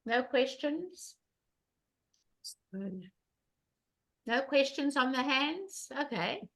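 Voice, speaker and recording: Loud, bright-voiced older woman teaching bridge. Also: sits high, very animated, drawn-out words, low-quality recording. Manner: emphatic, repeats questions to the class. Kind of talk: online bridge lesson.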